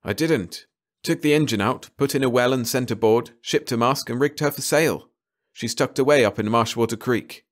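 A man's voice: mid-range voice, moderate pace, pitched above the performer's own voice, close to an everyday voice. A man voicing a stubborn, independent, plain-spoken outlaw in an audiobook. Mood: matter-of-fact. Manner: plain, informal, terse. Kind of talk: audiobook character dialogue.

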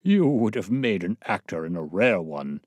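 A man's voice: sits low, a little fast, a little mellow, dry recording, studio quality. A man voicing a bluff, hearty, self-important police officer with an emphatic manner, in an audiobook. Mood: delighted.

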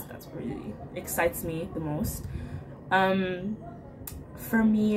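A young Jamaican woman of eighteen speaks in a low, full voice, bubbly and talkative. She is recorded on a laptop webcam in a small room at home. Clear background noise sits under her speech the whole time. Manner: bubbly, chatty, with light laughs. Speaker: work and travel applicant. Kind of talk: self-introduction video. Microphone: laptop webcam mic at close range.

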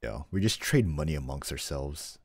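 A young man streaming a hunting game, chatting in a deadpan, rambling way from his home desk. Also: a bass voice, normal speed, no room sound, clean studio sound. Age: young adult. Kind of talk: casual chat while gaming. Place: home room, seated.

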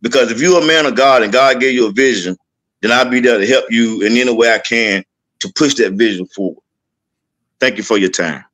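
A man's voice: medium pitch, fairly quick, fairly bright tone, low-quality recording. A man guest on a video-call panel, speaking earnestly about faith and community with a Southern US accent, repeating lines like a list. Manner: earnest, repetitive, speaks in lists. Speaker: podcast guest. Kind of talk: testimony-style panel talk.

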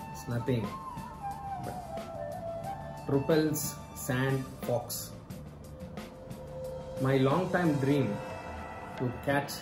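A man narrating a wildlife vlog in a casual, hesitant way, with frequent filler sounds and halting phrases. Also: mid-range voice. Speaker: wildlife vlogger. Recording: echoey sound with loud music behind.

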